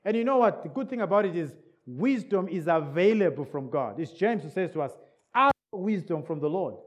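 Animated preacher man, high lively voice. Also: brisk. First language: not English. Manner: lively, checks in with listeners with repeated questions; repeats key words for emphasis. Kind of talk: sermon. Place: church service in England.

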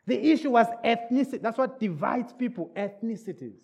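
A man's voice: extremely high pitch, animated, a little fast, creaky, a little mellow, slightly rough. A man preaching a sermon in a church service in England, English not his first language, repeating key words and checking in with the listeners.

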